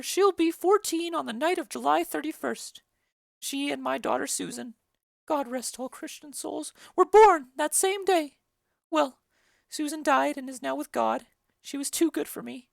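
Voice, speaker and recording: A woman voicing a chattering, bawdy old female nurse in a dramatic reading of a play, rambling and loud. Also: high-pitched, vocal fry, no room sound, studio quality. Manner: loud, rambling, giggly storytelling.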